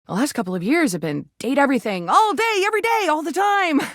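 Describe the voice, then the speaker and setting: A man's voice: extremely high pitch, expressive, fast talker, fairly bright tone, no room sound, clean studio sound. A man voicing a hyperactive goofball game mascot, campy and theatrical, full of playful silliness. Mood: flustered, chatty.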